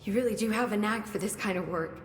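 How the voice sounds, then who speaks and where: An actress playing a brisk, curious research scientist with dry wit in a video game, thinking aloud analytically. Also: female voice, mid-range voice, natural voice. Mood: curious, musing. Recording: echoey hall with faint noise.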